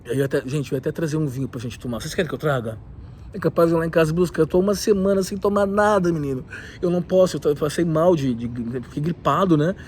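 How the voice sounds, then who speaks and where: A man with a cold, talking in an animated, opinionated way with many asides in a casual vlog, recorded in a rooftop leisure area. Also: a little high, fast, slight background noise. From southern Brazil. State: has a cold. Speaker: video host.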